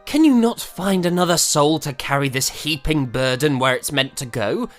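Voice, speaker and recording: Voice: medium pitch, lively intonation, moderate pace, a bit bright, soft background music, close to an everyday voice. An actor playing an aggrieved, spirited young composer of the 1780s in a florid, theatrical period audio drama style. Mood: flustered, defiant. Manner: theatrical, florid, hesitant sputtering. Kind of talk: period audio drama acting, letter narration.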